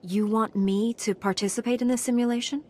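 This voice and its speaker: An actress playing a resolute, composed young apprentice heroine in a video game. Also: female voice, a little high, fairly even intonation, brisk, dark tone, lightly acted. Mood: earnest. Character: resolute young female Jedi apprentice hero.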